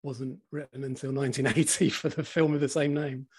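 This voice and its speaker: A man in his forties with a slightly croaky voice, co-hosting a casual podcast chat, laughing often and swearing freely. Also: medium pitch, little pitch movement, a little fast, a little mellow. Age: 40s. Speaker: podcast co-host.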